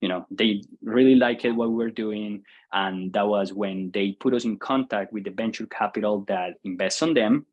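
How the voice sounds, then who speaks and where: A man's voice: lowish voice, normal speed, dull audio. A man in his thirties from Colombia with a Colombian Spanish accent, answering interview questions casually with many fillers and restarts. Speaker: guest, software entrepreneur.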